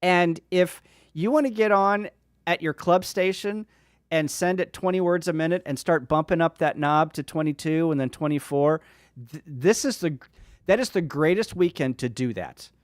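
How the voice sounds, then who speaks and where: A man's voice: on the high side, normal speed, no echo, studio quality. A man joining a casual livestream chat as a panelist, talking enthusiastically and rambling with many fillers.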